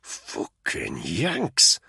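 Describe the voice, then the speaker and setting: A man's voice: on the low side, animated, fairly quick, fairly bright tone, husky, no room sound, clean studio sound. A man voicing a coarse, angry male soldier who speaks in a blunt, bitter hiss. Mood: disgusted.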